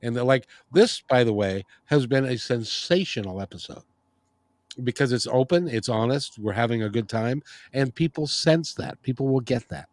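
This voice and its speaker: A man's voice: medium pitch, animated, moderate pace, darkish tone, no room sound. Rambling middle-aged male host, dry sound. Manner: rambling, frequent restarts and fillers. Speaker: podcast and radio show host. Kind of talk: casual interview chat.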